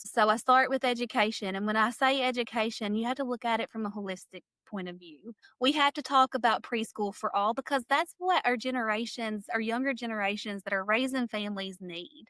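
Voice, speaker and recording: A woman, a political candidate speaking in an interview in an emphatic, upbeat way with quick bursts and frequent laughs. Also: a little high, a bit bright, no room sound. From Kentucky. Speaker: state senate candidate. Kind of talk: energetic campaign interview.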